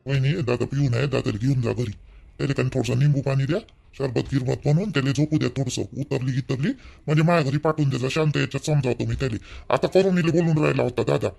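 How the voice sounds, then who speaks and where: Man voicing a creaky-voiced, placating village younger brother. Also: mid-range voice, fast, a little mellow. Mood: quietly concerned. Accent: Vidarbha Marathi. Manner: reassuring, explaining. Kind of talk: village cartoon dialogue.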